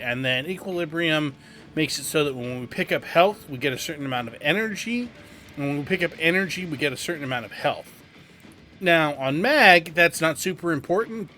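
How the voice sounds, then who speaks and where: Bright-voiced man giving game commentary. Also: on the high side. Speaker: gaming guide host. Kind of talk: casual game walkthrough commentary. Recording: faint background game noise. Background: game sound.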